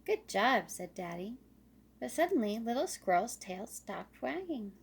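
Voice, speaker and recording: A woman narrates a children's storybook at a slow, deliberate pace. Her delivery is expressive, with a noticeable creak in the voice. She reads as a storyteller for young listeners. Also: on the high side.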